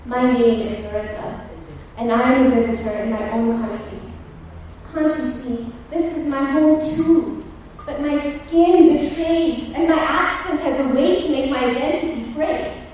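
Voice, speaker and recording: A Kenyan woman performing a spoken word poem in slow, emphatic recitation with dramatic pauses. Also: high-pitched, darkish tone, a little hiss or hum. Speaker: poet. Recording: echoey hall, distant mic, faint ambience.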